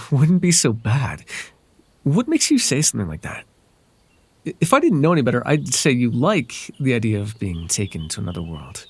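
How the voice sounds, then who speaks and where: A man voices a charming fae traveler with a softly husky, swooping voice. He is courteous, playful and a little evasive, flirting gently in an intimate ASMR roleplay. The sound is close and dry. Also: mid-range voice, huge pitch swings, normal speed, no echo, exaggerated voice. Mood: teasing, mysterious.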